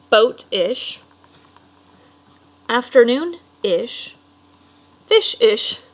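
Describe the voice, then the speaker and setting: A woman reading a children's picture book aloud expressively, pausing for casual explanatory asides to young listeners. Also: fairly high pitch, a bit bright, poor audio. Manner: explanatory, stops to clarify words for children. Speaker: read-aloud storyteller.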